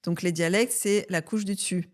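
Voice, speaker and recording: Animated French woman from Marseille. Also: lowish voice, normal speed. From France. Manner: explanatory, animated storytelling. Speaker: guest. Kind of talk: podcast conversation.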